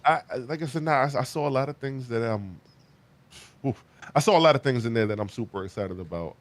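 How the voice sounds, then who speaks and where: A man in his thirties runs a loose gaming podcast, talking at a quick clip in a mid-range voice. He is animated and emphatic, tripping over restarts as his thoughts rush ahead. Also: mid-range voice. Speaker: podcast host. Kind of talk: casual gaming chat.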